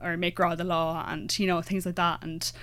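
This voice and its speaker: A woman's voice: on the low side, flat delivery, a bit bright. A young Irish woman in her twenties chatting casually, full of fillers and restarts. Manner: fillers, many restarts, quick asides. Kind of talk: casual chat.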